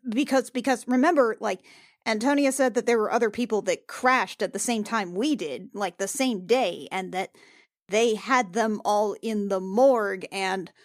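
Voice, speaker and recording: Woman as anxious princess healer for a tabletop game: halting, repetitive muttering, mid pitch, dry recording. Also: no echo, natural voice. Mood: flustered, alarmed. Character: anxious, flustered princess healer counting days.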